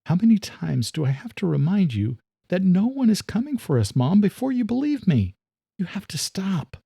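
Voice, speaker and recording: A woman's voice: medium pitch, big pitch swings, moderate pace, vocal fry, dark tone, breathy edge, dry recording, studio quality, voice pushed up, full character voice. A man narrating a story, voicing a tense young woman cop who is exasperated and pleading with her mother.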